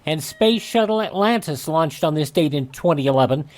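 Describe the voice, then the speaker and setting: A man's voice: a little high, expressive, moderate pace, a little mellow. A man hosting a radio show, reading a scripted rundown of historical dates.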